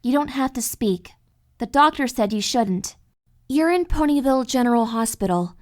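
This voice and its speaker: A woman voicing a calm, caring cartoon pony mentor in an audio story, reassuring and explanatory. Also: a little high, moderate pace, natural voice. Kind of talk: dramatic fan fiction audio reading.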